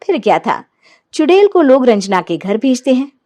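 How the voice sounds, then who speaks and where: A woman storyteller with a high voice narrates a scripted children's moral tale. Her pitch moves in lively, wide curves. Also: normal speed.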